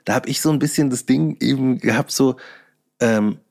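A man chatting casually as an interview guest in youthful slang, halting and searching for words, then getting animated. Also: mid-range voice, creaky. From Bavaria, Germany. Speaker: guest, hip-hop DJ and workshop teacher.

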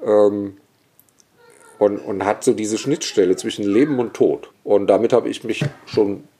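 A man with a gravelly, dark voice. He talks as a guest and historian in a relaxed, storytelling way, vivid and opinionated, with many small asides. His tone stays mellow. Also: lowish voice, unhurried. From Germany, Bergisch Gladbach area. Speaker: guest, historian and cemetery expert.

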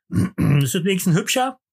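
A man's voice: high-pitched, sing-song, normal speed, darkish tone, husky. A man co-hosting a podcast in casual banter, rambling and joking while drinking, a bit tipsy. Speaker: podcast co-host.

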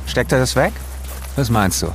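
A man's voice: mid-range voice, animated, normal speed, faint music behind, natural voice, low-quality recording. An actor playing a vengeful man who taunts and accuses in an audio drama, with a cold, insistent manner. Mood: cold, taunting.

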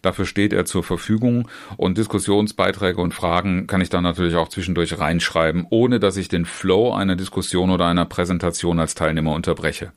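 Deep-voiced German trainer explaining directly. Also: male voice, steady tone, a little fast, few pauses. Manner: speaks in long chained clauses with frequent restarts and fillers; addresses the listener directly as "du". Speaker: trainer and trainer educator. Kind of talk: informal instructional talk on online seminars.

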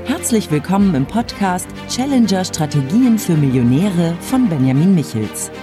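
A woman's voice: fairly low pitch, sing-song, moderate pace. A woman reading a polished, scripted intro for a podcast in an upbeat announcer style. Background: music bed.

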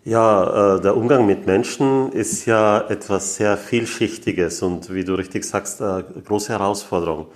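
A man in his sixties with an Austrian accent, giving reflective, deliberate interview answers as a guest, pausing as he thinks. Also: on the low side. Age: 60s. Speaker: interview guest, business owner.

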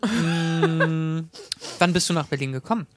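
A man co-hosts and puts questions in a casual conversational style. His voice is a little higher than most men's. The recording is dry, without room echo. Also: animated, measured pace. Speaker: podcast co-host and interviewer. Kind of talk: casual interviewing.

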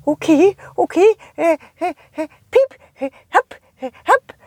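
A woman voicing a small, excitable male bird who peeps and stammers in a children's audio play. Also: very high-pitched, takes it slowly, dry recording, clean studio sound, pitched above the performer's own voice, full character voice. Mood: flustered. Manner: chirpy, interjects peeps and stammers.

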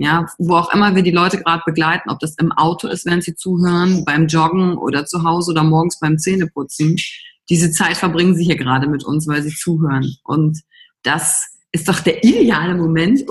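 A woman hosting a podcast, upbeat and slangy, leading the talk with questions. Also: on the low side. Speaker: podcast host. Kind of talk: casual podcast conversation.

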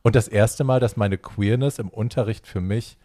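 A young adult man telling a personal story in casual chat, acting out the dialogue with lively energy. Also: lowish voice, normal speed, no room sound, studio quality.

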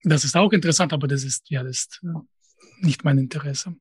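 Russian-accented man, late thirties, interview guest; husky, slightly high voice, halting and direct, dry close sound. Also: no room sound. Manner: hesitant, restarts phrases, direct. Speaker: professor and YouTuber, interview guest. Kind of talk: conversational interview answers.

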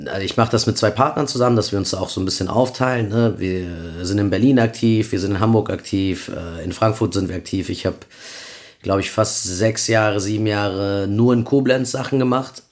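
A man in his thirties, from Peru, talks about his work as a podcast guest. His voice is very deep, and he rambles in a chatty way with plenty of "äh" and "ähm". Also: a little fast. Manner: chatty, rambling with many fillers. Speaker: guest, event promoter. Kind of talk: casual podcast interview.

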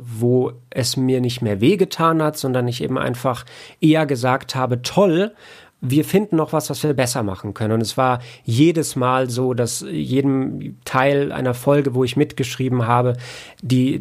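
Guy being interviewed, casual and chatty, explains stuff in long rambly sentences, average pitched voice. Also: darkish tone. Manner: rambling explanations with frequent hedging filler phrases. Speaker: interview guest, audio drama producer and author.

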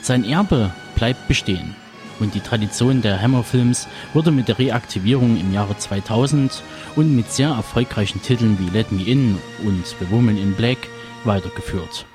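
A man presenting a radio show in scripted narration. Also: fairly low pitch.

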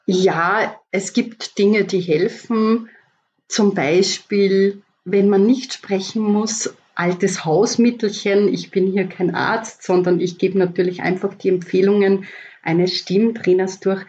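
An Austrian woman voice coach explains remedies calmly and practically. Her delivery is slow and her tone is dark and mellow. The audio sounds a little dull and lo-fi. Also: mid-range voice. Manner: calm, explanatory, gives practical tips. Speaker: voice coach guest. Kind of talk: podcast interview.

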